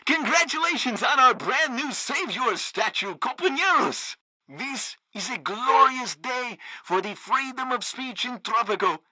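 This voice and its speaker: A man's voice: very high-pitched, expressive, unhurried, sharp tone, dry recording, exaggerated voice, poor audio. An actor playing a pompous, fawning radio news host in a satirical game, grandiose and theatrical in his announcements. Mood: gleefully proud. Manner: grandiose, sycophantic. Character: pompous, fawning male propaganda radio host, gleefully loyal.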